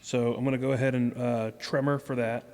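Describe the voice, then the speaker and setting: A man's voice: mid-range voice, little pitch movement, a little fast, a little mellow, clean tone. A man playing a tabletop game and chatting informally with friends. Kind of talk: casual chat during gameplay.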